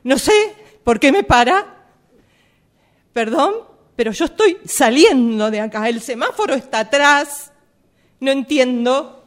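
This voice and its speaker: A woman playing an indignant driver in a radio theatre exercise, insistently protesting with curt politeness. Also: high-pitched. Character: indignant, argumentative female driver stopped by an officer. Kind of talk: radio theatre workshop monologue.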